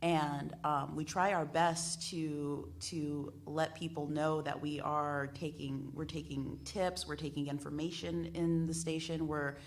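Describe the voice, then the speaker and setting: A woman speaking off the cuff as a radio panelist, halting at times and repeating phrases for emphasis. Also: deep voice. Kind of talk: spontaneous panel discussion talk. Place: live panel discussion at a venue.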